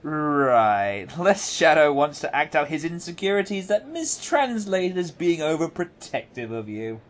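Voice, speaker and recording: A man's voice: fairly high pitch, very animated, unhurried. A man playing a wry, self-assured, wordy agent in an audio drama. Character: wry, self-assured male agent, loyal, dryly teasing. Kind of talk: fan audio drama acting. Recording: faint background noise.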